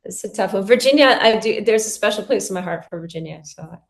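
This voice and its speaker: A woman from New Jersey giving a casual home tour and interview, chatty and halting, laughing easily. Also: medium pitch, expressive, gravelly. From New Jersey, USA. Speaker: interview guest and expat homeowner.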